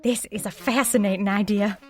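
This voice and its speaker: A woman's voice: medium pitch, fairly even intonation, brisk, faint music behind, lightly acted. A woman playing a nosy, loyal small-town sidekick in an audio drama, with a frank, folksy and eager manner. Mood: eager, insistent. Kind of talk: audio drama dialogue acting.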